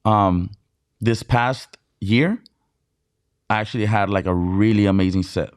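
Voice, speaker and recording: A man from Mexicali, a DJ guest on an interview show, telling stories in excited, casual talk full of filler words and swearing. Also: fairly low pitch, measured pace, clear, resonant tone, no echo, studio quality. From Mexicali, Baja California, Mexico.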